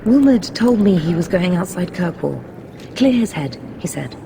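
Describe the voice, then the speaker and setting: An actress playing a dutiful, guarded soldier in a video game, formal and earnest. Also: female voice, lowish voice, big pitch swings, normal speed, vocal fry, dark tone, a little hiss or hum. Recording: game audio with music behind.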